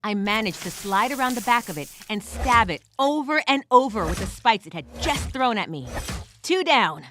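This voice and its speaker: A woman's voice: on the high side, expressive, normal speed, sharp tone, a little hiss or hum. A woman voicing a weary but gritty furred female adventurer who tells her story in the first person with wry asides, in an audio drama style. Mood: tense, urgent.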